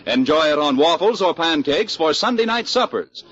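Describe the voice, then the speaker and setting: High-pitched, animated 1940s radio announcer man. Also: normal speed. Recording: muffled old radio broadcast recording. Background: music.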